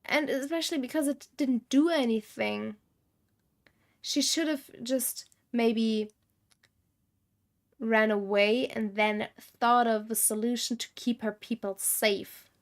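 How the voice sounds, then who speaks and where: A woman's voice: a little high, fairly even intonation, slow. A woman game streamer giving casual live commentary, musing and thinking aloud.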